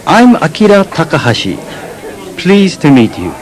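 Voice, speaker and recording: An actor playing a friendly sales manager in a scripted textbook dialogue, speaking clearly. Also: male voice, a little high, very animated, normal speed, crowd noise. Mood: polite.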